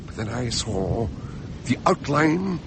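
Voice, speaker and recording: An actor playing a mild, cautious doctor who recounts a shipboard mystery in a radio drama, with a measured, hesitant manner. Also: male voice, medium pitch, very animated, gravelly, noisy background, full character voice. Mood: tense recounting. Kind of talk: radio drama narration and dialogue.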